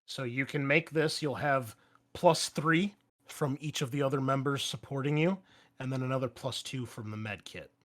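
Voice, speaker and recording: A man with a mid-pitched voice runs a tabletop roleplaying game. He moves casually between explaining rules and narrating scenes, and is comfortable swearing as he goes. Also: moderate pace, a bit bright. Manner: casual, profane. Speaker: game master.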